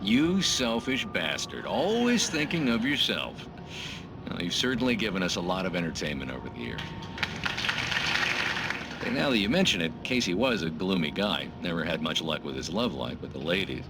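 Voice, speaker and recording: A brash late-night talk show host with a very animated, swooping delivery. He is chummy and teasing, with a showman's mock outrage, over loud noise. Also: sits low, moderate pace. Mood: chummy ribbing.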